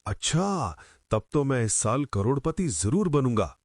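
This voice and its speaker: A man's voice: mid-range voice, very animated, unhurried, darkish tone, dry recording, clean studio sound. A man voicing a greedy, cunning village moneylender in a cartoon story, with a smug and sly way of talking. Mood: gloating. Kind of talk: Hindi cartoon story voice acting.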